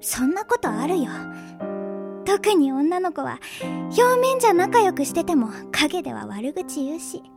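A woman's voice: high-pitched, sing-song, a little slow, creaky, exaggerated voice. A woman playing a pretty, self-absorbed teenage schoolgirl in a Japanese audio drama, speaking in a coy, teasing, sweetly girlish way. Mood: bitter. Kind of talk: Japanese anime-style audio drama acting. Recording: background music under the voice.

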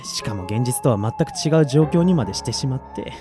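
A man's voice: medium pitch, animated, fairly quick, mellow, soft background music, natural voice. A man acting as a coolly polite, businesslike collection agent for an android company in a Japanese audio drama. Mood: reproachful.

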